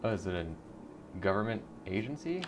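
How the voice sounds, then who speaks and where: Low-voiced man doing game commentary. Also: very animated, brisk, background noise. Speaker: gaming video host. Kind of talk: casual game commentary with read-aloud narration.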